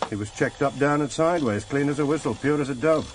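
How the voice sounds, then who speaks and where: A man's voice: mid-range voice, quick pace, close to an everyday voice. A man playing an efficient, wry investigator in a radio drama, matter-of-fact and clipped. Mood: businesslike. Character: efficient, wry intelligence officer reporting from files. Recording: hissy vintage radio drama tape.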